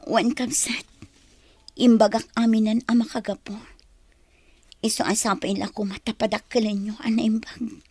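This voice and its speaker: A woman's voice: on the high side, flat delivery, moderate pace, long pauses, darkish tone, breathy edge, no room sound. A woman acting an anxious, tearful young mother in a radio drama, with an emotional, pleading delivery. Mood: tearful, tender. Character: distressed young mother fleeing an abusive partner, tearful, pleading.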